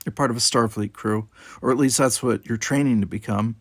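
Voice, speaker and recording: A man's voice: mid-range voice, fairly quick, dry recording, studio quality, natural voice. A man as a feisty, blunt young woman cadet. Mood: angry. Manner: pointed, blunt, colloquial.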